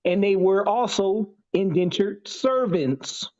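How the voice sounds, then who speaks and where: A man in his late twenties explaining his views in a halting, deliberate way as a guest in an online discussion. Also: high-pitched, darkish tone, lo-fi sound. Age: 20s.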